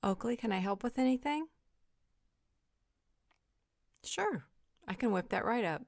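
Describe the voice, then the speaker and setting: A man voicing a helpful, wry female vampire healer in a fantasy audio roleplay, with a light, soft, gently teasing manner. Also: very high-pitched, animated, brisk, gravelly, no echo, studio quality, voice pushed up, exaggerated voice.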